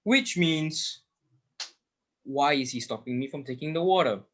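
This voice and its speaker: A man's voice: a little high, lively intonation, unhurried. A young male student narrating a children's animal tale as scripted readers theater. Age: teenager or young adult student.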